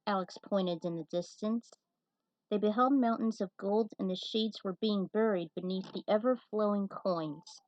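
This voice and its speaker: A woman's voice: medium pitch, normal speed, darkish tone, no room sound, dull audio. Woman reading her story aloud, dry close sound. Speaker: host and reader of a fan fiction series. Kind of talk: amateur story reading with casual asides.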